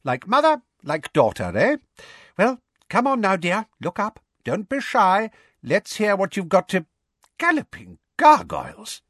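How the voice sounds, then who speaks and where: Guy doing a puffed up old politician for an audiobook, bumbling n blustery, pitched way up, very animated. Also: measured pace, no room sound, studio quality, exaggerated voice. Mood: hearty. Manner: hearty, bumbling, patronising, easily angered. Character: blustering, pompous older male government minister.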